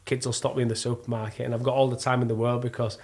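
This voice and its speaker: A man in middle age speaks with a Yorkshire accent at an ordinary male pitch. He chats informally, telling animated stories with a joking, self-deprecating streak. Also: very fast. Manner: jokey, self-mocking storyteller. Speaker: podcast guest, actor and plasterer. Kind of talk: casual chat, animated anecdote telling.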